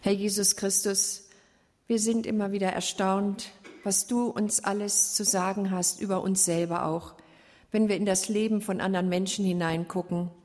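Flat-voiced woman praying. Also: mid-range voice, moderate pace, big-room sound. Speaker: person leading a prayer. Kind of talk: spoken prayer.

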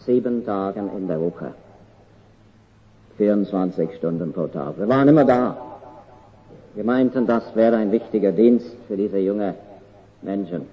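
An older man from Canada with an English accent, his first language English, preaching a sermon in an earnest, slow and deliberate way with halting pauses. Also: on the low side, dull audio.

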